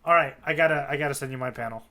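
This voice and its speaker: A man's voice: mid-range voice, very fast, sharp tone, a little hiss or hum. A man co-hosting a podcast in casual chat about manga, joking and acting out imagined quotes. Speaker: podcast co-host.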